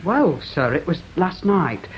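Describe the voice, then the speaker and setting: An actor playing an earnest, deferential English police subordinate in a British radio comedy-mystery, guileless, hesitant and literal-minded. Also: male voice, a little high, sing-song, slow, muffled recording, a little hiss or hum. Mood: sheepish, earnest.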